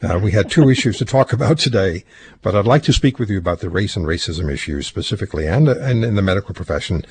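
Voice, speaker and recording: A man's voice: on the low side, expressive, quick pace, slightly rough. A man hosting a radio talk show, interviewing a guest conversationally with short affirming responses. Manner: conversational, affirming with brief acknowledgments.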